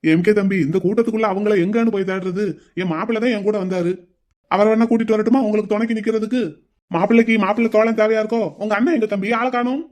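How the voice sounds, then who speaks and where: A man's voice: high-pitched, fairly even intonation, quick pace, a little mellow, close to an everyday voice. A man voicing a friendly, chatty older uncle who fusses over people at a wedding. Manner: chatty, fussing.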